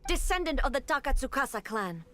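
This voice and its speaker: A woman's voice: sits high, very animated, a little fast, sharp tone, full character voice. A woman playing a cold, commanding shogun ruler in a video game, with an imperious, formal delivery. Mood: stern, imperious. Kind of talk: English video game voice acting.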